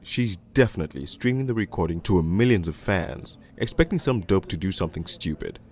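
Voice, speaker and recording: Cynical detective narrator for a crime storybook, real gravelly, swings up and down a lot, crude and world weary. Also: male voice, low-pitched, expressive, darkish tone. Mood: wry, sardonic. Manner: world-weary, deliberate, sardonic. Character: jaded, crude, cynical male police detective. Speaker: narrator and lead voice actor in an animated storybook. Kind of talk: first-person hard-boiled crime narration.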